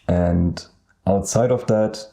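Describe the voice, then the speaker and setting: A young man from Germany with a German accent, co-hosting a casual podcast and talking hesitantly with many pauses. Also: sits low, dark tone. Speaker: podcast co-host. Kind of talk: casual chat.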